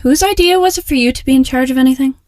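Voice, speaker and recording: A woman playing a young, quick-witted, playful female superhero who gets flustered, in an audio drama. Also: sits high, a little mellow, no room sound, studio quality, close to an everyday voice. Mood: teasing. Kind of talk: audio drama character acting.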